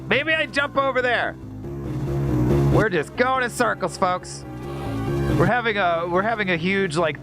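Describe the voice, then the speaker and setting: A man chatting casually as he hosts a game livestream, rambling and stammering with repeated words and slangy, salty language. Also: on the high side. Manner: informal, profane and slangy. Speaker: livestream host playing a video game. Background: game music.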